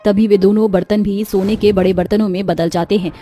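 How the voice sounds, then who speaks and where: A woman's voice: mid-range voice, fairly even intonation, a little fast, warm tone, soft background music. A woman narrating a scripted cartoon moral story as a storyteller, with a dramatic, literary delivery.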